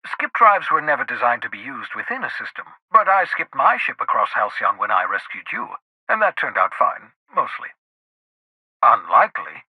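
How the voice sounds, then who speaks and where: An actor voicing an eccentric, erudite older renegade scientist in a video game, jittery and sardonic, with theatrical, wordy, precise delivery. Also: male voice, medium pitch, husky, dry recording, phone-quality sound. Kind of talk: video game character dialogue.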